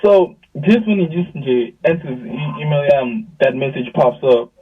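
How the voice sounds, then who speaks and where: Young man, South African accent, husky voice, casual slangy storytelling, narrow phone-like video call sound. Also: medium pitch, moderate pace, distant mic. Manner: slangy, animated retelling with quoted dialogue. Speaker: music artist, interview guest.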